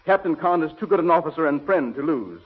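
A loyal alien officer who speaks earnestly and flares up when giving orders. His voice sits a little high with lively swings. It comes through a thin, phone-like old radio recording with faint hiss. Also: quick pace, breathy edge. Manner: earnest, excitable theatrical delivery. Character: loyal male alien officer, eager and dutiful. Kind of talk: 1930s radio acting.

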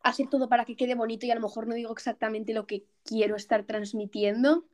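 A young woman acts as the interviewer in a casual conversation. She speaks in a relaxed, informal register, putting questions and sharing her own view in an everyday conversational style. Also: a little high, fast.

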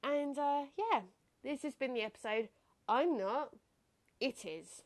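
A woman's voice: high voice, animated, measured pace. A young woman hosting her own podcast, talking in a casual, reflective way with a slightly nasal, stuffed-up voice and frequent laughs. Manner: chatty, reflective, laughs often. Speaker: podcast host. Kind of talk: casual solo podcast talk.

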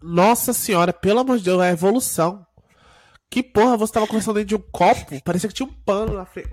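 A man's voice: high voice, fast, no echo. A young man with a Brazilian Portuguese accent co-hosting a casual podcast, stuffy-nosed from a cold and joking and swearing freely. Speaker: podcast co-host. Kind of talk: casual chat.

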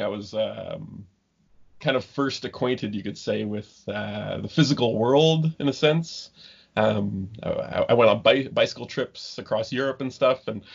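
A man in his thirties from Ontario, a guest scientist talking casually in an interview, halting with many ums and restarts. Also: medium pitch, gravelly, slightly rough. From Ontario, Canada. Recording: dull lo-fi remote call audio.

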